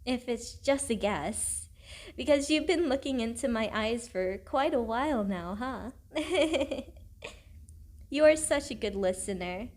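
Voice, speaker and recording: Woman doing a sweet young girlfriend roleplay, high voice, slow n gentle, earnest, kinda self-conscious, super affectionate. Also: expressive. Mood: affectionate, shy. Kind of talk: intimate audio roleplay spoken to the listener.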